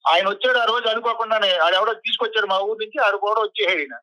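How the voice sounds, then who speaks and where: Very fast, sharp young man, defiant. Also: high-pitched, no room sound, low-quality recording. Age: young adult. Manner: defiant, argumentative, irritated. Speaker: caller, college student. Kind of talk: heated phone conversation.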